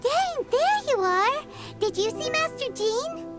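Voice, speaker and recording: A woman voicing a tiny, excitable fairy-like companion who talks about herself in the third person, in a video game. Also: very high-pitched, slow, exaggerated voice. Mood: eager. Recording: game music underneath.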